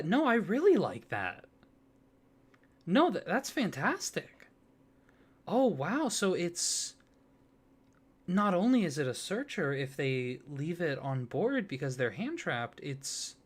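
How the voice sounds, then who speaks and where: Soft-spoken man, slow and lilting. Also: high-pitched, husky. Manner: soft-spoken, gently enthusiastic. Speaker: ASMR roleplay host. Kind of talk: soft-spoken ASMR roleplay.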